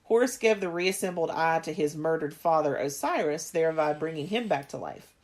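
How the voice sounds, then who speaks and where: A woman's voice: deep voice, lively intonation, fairly quick, darkish tone. A woman in her thirties chatting casually as a podcast co-host, rambling and restarting often. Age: 30s. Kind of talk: casual chat.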